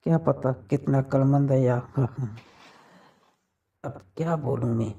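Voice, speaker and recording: A man's voice: mid-range voice, a little slow, gravelly, dark tone, breathy edge. A man in a casual, intimate phone conversation, teasing and probing with questions, recorded muffled and distant.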